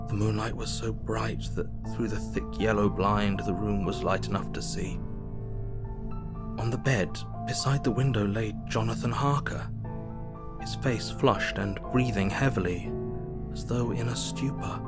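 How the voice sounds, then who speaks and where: An actor playing a grim, shaken doctor narrating his diary in a measured, hushed and grave way in a horror audio drama. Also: lowish voice, over loud music, close to an everyday voice. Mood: appalled, grave.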